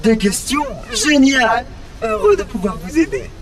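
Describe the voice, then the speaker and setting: A man's voice: very high-pitched, big pitch swings, unhurried, full character voice. A man voicing a fussy, flippant robotic oracle in a video game, with a chirpy, easily exasperated manner. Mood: chipper. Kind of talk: dramatic video game acting. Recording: very noisy, crowded outdoor background.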